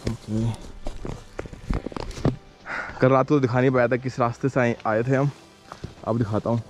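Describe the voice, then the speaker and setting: Male travel vlogger, thirties, mid-pitched, friendly off-the-cuff chat outdoors, music underneath. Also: steady tone, fairly quick. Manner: friendly, addresses viewers and locals as brother. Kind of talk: casual vlog chat to camera. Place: outdoors on mountain roads and villages.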